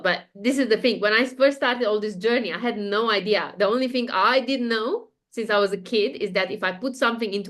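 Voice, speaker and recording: A woman's voice: medium pitch, a bit bright. A woman in her thirties speaks with a Romanian accent, sharing her story as a podcast guest. She talks in a loose, meandering way, full of ums and small laughs. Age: 30s. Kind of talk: casual interview chat.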